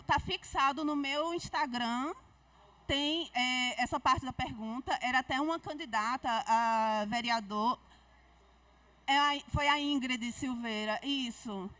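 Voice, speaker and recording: A high-pitched woman with a Paraíba Brazilian accent, speaking as an author on a book fair panel. She tells her stories frankly and with animation. The sound is dry and comes from a mic held some distance away. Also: fairly bright tone, no echo. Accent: Paraíba Brazilian Portuguese.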